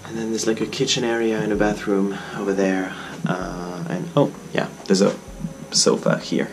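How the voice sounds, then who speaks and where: A man's voice: fairly low pitch, level tone, normal speed, gravelly, background noise. A young man vlogging a road trip, talking casually to a dashboard camera while he drives, with easygoing fillers as he thinks aloud. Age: young adult. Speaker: travel vlogger. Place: inside a car while driving; motel room, small, indoors. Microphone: camera mounted on the dashboard.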